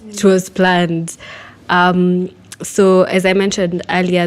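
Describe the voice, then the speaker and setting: A woman speaking candidly and reflectively as she shares personal thoughts with a group. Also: on the low side. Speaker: writer and researcher.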